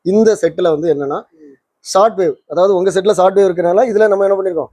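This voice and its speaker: A man's voice: sits high, fast, dark tone, no echo. A man hosting a repair channel, explaining things casually and often checking with tag questions. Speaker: host of a vintage radio repair channel.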